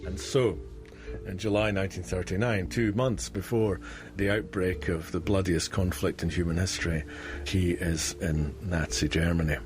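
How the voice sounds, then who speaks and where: A man's voice: sits low, fairly even intonation, moderate pace, over music. A man speaking as an academic researcher, explaining history in a documentary interview.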